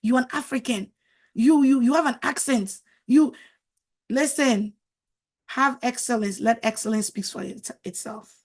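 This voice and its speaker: A middle-aged woman coach whose first language is French speaks in a fairly high voice. She lectures in an emphatic, preachy way, pressing each point home and repeating words for stress. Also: a little slow, darkish tone. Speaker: marriage coach. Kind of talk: motivational talk to camera.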